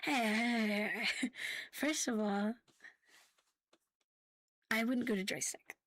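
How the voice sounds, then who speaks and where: A woman hosting a live ASMR stream, chatting casually and playfully in a soft, breathy voice that often slips into a whisper. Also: on the high side, fairly even intonation, takes it slowly, no echo. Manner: playful, giggly, teasing. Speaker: ASMR livestream host.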